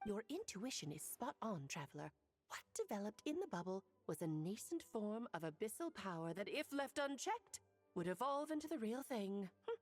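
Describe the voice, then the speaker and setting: A woman's voice: medium pitch, sing-song, normal speed. An actress voicing a playful, confident witch in a video game, explaining magic in a theatrical, articulate, teasing way. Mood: explaining, amused. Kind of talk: video game character voice acting. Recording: music playing behind the voice. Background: game background music.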